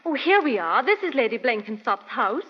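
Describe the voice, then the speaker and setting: A woman's voice: a little high, sing-song, moderate pace. An actress playing an earnest, anxious young lady in an old-time radio drama, genteel and flustered. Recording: muffled, noisy old radio recording.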